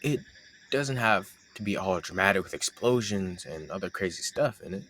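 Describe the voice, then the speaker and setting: A man's voice: deep voice, animated, moderate pace, a bit bright, a little hiss or hum. A young man playing a playful, teasing roommate with a hidden crush, chatting casually and sarcastically to the listener in an intimate roleplay audio. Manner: teasing, conversational, sarcastic banter.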